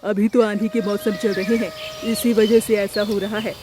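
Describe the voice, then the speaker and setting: A woman's voice: mid-range voice, level tone, moderate pace, over music, close to an everyday voice. A woman voicing a kindly, helpful male pigeon in a children's cartoon, reassuring and generous in manner.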